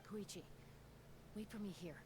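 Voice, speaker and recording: An actress playing a confident, level-headed, protective woman scout in a video game, assured and deliberate. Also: mid-range voice, background noise, lightly acted. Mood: confident, firm.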